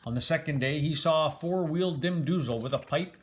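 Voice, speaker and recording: An older man reading a children's storybook aloud in an expressive, grandfatherly way, stressing and stretching words. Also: a little high, brisk, a little hiss or hum.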